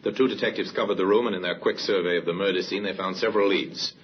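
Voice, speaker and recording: An actor as the grave narrator of a crime mystery, in vintage radio style. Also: male voice, low-pitched, steady tone, fast, slight background noise, close to an everyday voice. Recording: dull vintage radio recording with music.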